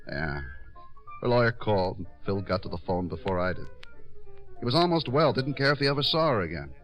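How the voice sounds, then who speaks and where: An American actor playing an earnest writer-director in a 1950s radio drama, pleading and insistent with a halting delivery. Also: male voice, on the low side, expressive, a bit bright, faint music behind. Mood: agitated, defensive. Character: earnest, pleading male writer-director, loyally defending his star.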